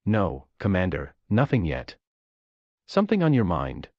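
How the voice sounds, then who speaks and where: A man's voice: sits low, wildly swooping pitch, a little slow, dry recording, lo-fi sound, exaggerated voice. A man playing a composed, commanding starship captain with terse delivery in a science fiction audio drama. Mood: composed, resolute.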